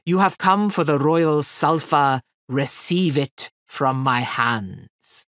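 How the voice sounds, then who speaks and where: A huge fire fiend speaks with slow, booming scorn, as a woman reader puts him on. His voice sits low and drags each word out. The storybook recording is close and dry. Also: a bit bright, no echo. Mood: commanding. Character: huge roaring male fire fiend, mocking. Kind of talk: storybook reading.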